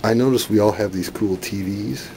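A man's voice: lowish voice, normal speed, warm tone. A man chatting casually and jokingly as he interviews people from behind a handheld camera in an office. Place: office with study carrels. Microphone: built-in mic of handheld camera. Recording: noisy handheld camera audio.

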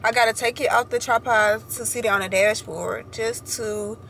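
A young woman in her twenties chats to a handheld camera from her car, a slightly high voice with slangy, easygoing talk aimed at her viewers. Some steady background noise runs under her voice. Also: normal speed, vocal fry. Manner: chatty, slangy, addresses viewers as y'all. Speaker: vlogger. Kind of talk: casual vlog chat to camera. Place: parked car and indoors at home. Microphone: handheld camera at arm's length.

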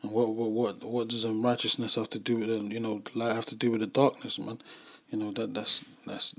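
Low-voiced man preaching casually. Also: level tone, brisk, vocal fry. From United Kingdom. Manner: casual, repeats "man" and "you know", frequent restarts. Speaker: religious group member. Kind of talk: informal preaching upload. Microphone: voice-over on screen recording.

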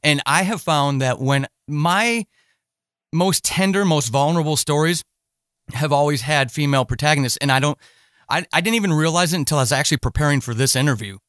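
A man's voice: a little high, brisk, a bit bright, no echo, studio quality. A middle-aged man hosting a conversational podcast interview, circling his way through wordy, hesitant phrasing.

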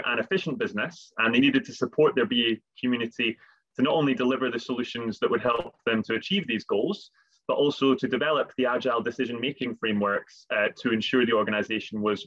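Halting man presenting on a lo-fi call. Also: mid-range voice, fairly even intonation, a bit bright. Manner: hesitant, repeats words. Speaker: webinar presenter. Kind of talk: webinar presentation. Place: home office, video call. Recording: dull lo-fi video call audio.

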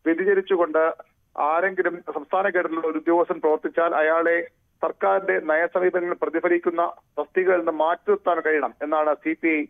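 Male phone-in reporter, flat intonation, thin telephone sound, political news. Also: on the high side, a little fast, creaky, phone-quality sound. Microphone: phone call.

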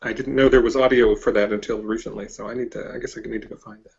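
A man's voice: on the low side, steady tone, racing, gravelly, a little mellow, husky, lo-fi sound. A middle-aged man hosting a casual interview over a video call. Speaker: interviewer, host. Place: indoor room, video call.

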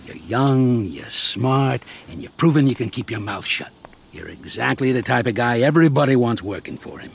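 An actor playing a shrewd, fatherly older American mafia consigliere with a gravelly, streetwise delivery in a video game. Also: medium pitch, lively intonation, normal speed. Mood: fatherly, persuasive. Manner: streetwise, coarse, patiently explaining. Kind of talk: game cutscene acting.

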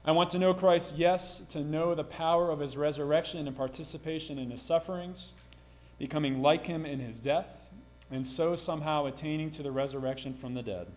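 A man's voice: fairly high pitch, a little fast, darkish tone. A man in his thirties, a pastor, reading scripture and then preaching a sermon in a small church sanctuary, talking steadily with frequent ums and restarts.

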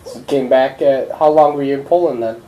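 A man interviewing a relative at home, asking gentle questions and nudging the story along with short replies. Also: medium pitch, fairly even intonation, brisk, roomy sound, highs cut off. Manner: gentle prompting with short backchannels. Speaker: family interviewer.

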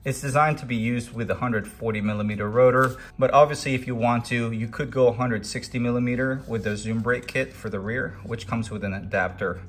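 A man's voice: mid-range voice, steady tone, fairly quick, hardly any pauses, breathy edge. A man giving a plain, step-by-step voice-over as he walks through a scooter repair. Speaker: DIY scooter builder. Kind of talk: tutorial voice-over.

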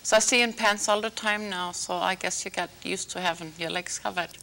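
A woman from Algeria hosting a small studio talk show, gently prompting her guest with hesitant, filler-laden questions. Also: lowish voice, steady tone, a little fast, vocal fry, slight background noise, rough recording. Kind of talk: interviewing a guest.

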